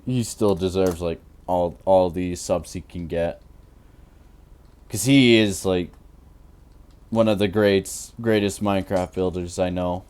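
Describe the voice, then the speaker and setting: A tired man in his twenties with a naturally deep voice, rambling through casual commentary while he builds in a game, full of fillers and restarts. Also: a little mellow, clear, resonant tone. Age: 20s. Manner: rambling, hesitant, many fillers. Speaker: Minecraft YouTuber.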